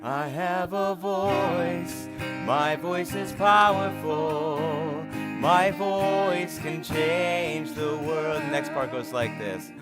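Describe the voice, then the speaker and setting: A man teaching a short song at a synagogue service, speaking easygoing asides and singing each line slowly for others to repeat. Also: a little high. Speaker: song leader. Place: synagogue sanctuary. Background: guitar.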